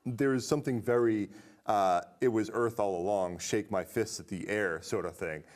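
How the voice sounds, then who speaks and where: A man's voice: lowish voice, normal speed, a little mellow. A man hosting a casual film discussion, talking in a rambling way full of asides and questions. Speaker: podcast host.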